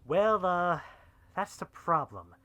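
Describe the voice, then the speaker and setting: An actor playing an excitable outlaw henchman in a sci-fi western drama, with casual, wry talk. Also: high-pitched, slow, full character voice. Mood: reporting, uneasy.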